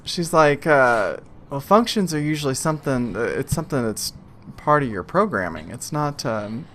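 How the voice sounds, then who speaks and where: A game master with a mid-pitched voice runs a tabletop game in a casual, improvised way. The delivery is hesitant, trying out ideas aloud and revising them along the way. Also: lively intonation, normal speed, fairly bright tone, a little hiss or hum.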